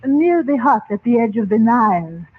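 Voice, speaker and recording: A mysterious Egyptian princess in a vintage radio serial. She is guarded and formal, with a breathy, veiled voice. The old recording is very narrow and tinny. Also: on the high side, animated. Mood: grave, recalling terror. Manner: measured, formal speech. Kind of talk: old-time radio drama acting.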